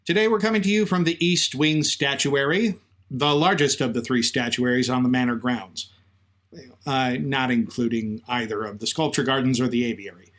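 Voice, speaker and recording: A man's voice: mid-range voice, moderate pace, fairly bright tone. A man co-hosting a podcast in a conversational, semi-scripted storytelling style. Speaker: podcast co-host.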